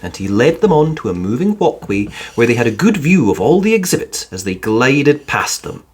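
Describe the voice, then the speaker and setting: A woman reading a children's storybook aloud as an expressive narrator. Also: very deep voice, normal speed, husky. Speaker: storybook reader.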